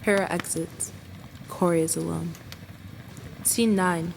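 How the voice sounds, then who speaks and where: A woman's voice: fairly low pitch, fairly even intonation, slow, vocal fry, noisy background. A woman reading stage directions as scripted narration for a radio play.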